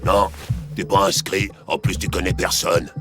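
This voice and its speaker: A man playing a gruff, slow-witted doorman in a children's cartoon, blunt and plodding. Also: low-pitched, flat delivery, music in the background, natural voice. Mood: officious. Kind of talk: French children's cartoon acting.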